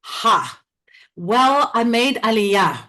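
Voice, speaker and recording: A middle-aged woman from South Africa, talking casually as an interview guest, chatty and quick to laugh, with many restarts and fillers. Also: fairly high pitch, very animated, sharp tone. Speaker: interview guest, theatre company director.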